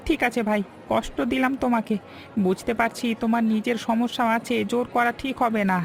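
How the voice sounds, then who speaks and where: This is a poor village husband and father played by an actor in a cartoon tale. The voice is heavy with fry and has a humble, apologetic, pleading manner. Music plays faintly behind it. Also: medium pitch, steady tone, brisk, lightly acted. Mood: resigned, ashamed. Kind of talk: cartoon story dialogue.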